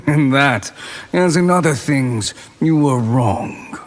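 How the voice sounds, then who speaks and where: Haughty sorcerer, smug, husky, slow, long reverb. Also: male voice, mid-range voice, lively intonation. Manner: smug, condescending. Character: haughty male sorcerer, cold and superior.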